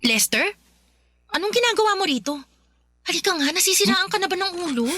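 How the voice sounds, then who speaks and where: An actress playing a defiant, bitter pregnant student in a Filipino radio drama, sarcastic and blunt with a Filipino accent. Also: female voice, very high voice, lively intonation, brisk, bright, forceful tone, soft background music, full character voice. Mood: annoyed, guarded. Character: defiant pregnant young student, bitter, sharp-tongued girlfriend.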